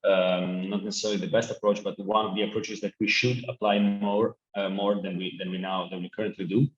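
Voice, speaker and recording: A man's voice: deep voice, little pitch movement, brisk. A man with an Italian accent, giving an earnest online conference talk with frequent restarts. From Italy. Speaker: presenting speaker. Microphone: video call. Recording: echoey, muffled video call.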